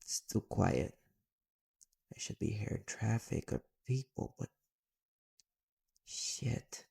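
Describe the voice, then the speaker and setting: A man's voice: on the low side, lively intonation, slow, vocal fry, mellow, husky, full character voice. A man playing a sarcastic, irritable private eye with magic in an audio roleplay, terse and exasperated. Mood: tense urgency. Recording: very noisy audio with clicking.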